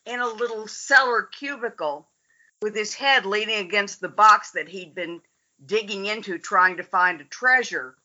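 An American woman on a podcast, speaking with measured, wry dryness. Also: medium pitch, bright, forceful tone, muffled recording. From United States. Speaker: podcast guest, lawyer. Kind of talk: casual podcast chat.

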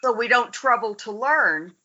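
American woman, measured and dry, bright tone, muffled recording. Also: a little high, expressive. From United States. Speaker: podcast guest, lawyer. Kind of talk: casual podcast chat.

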